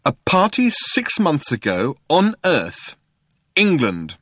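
A male reader plays an aggrieved ordinary man in a comic audiobook. He is sharp, stubborn and insistent, pitched up from the narration, with a dry close sound. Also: sing-song, normal speed, no room sound.

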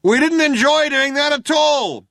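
A man voicing a second cop, an eager would-be novelist who yells chummy threats in a comic science fiction audiobook. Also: extremely high pitch, unhurried, dry recording, clean studio sound, voice pushed up, exaggerated voice. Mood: eager, menacing. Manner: yelling, eager, confiding.

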